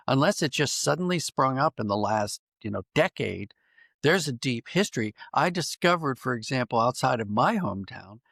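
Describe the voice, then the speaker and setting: A man's voice: mid-range voice, animated, normal speed, vocal fry, a bit bright, no echo, studio quality. A man speaking as an interview guest, reflective and conversational, thinking aloud and correcting himself as he goes. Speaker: podcast guest, writer and dramatist.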